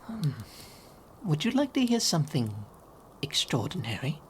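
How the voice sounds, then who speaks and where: A man voicing a regal, gentle owl demon prince in an ASMR audio roleplay, speaking softly and theatrically and slipping into whispers. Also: on the high side, huge pitch swings, moderate pace, slight background noise. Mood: gently lecturing. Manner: soft-spoken, theatrical, drifting into whispers. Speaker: voice actor in fan audio roleplay.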